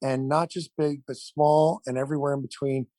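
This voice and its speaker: Effusive man, slightly high voice, close dry sound. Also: flat delivery, normal speed, dark tone, no echo. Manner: enthusiastic, effusive, repetitive. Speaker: event founder, podcast guest. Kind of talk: earnest interview answers.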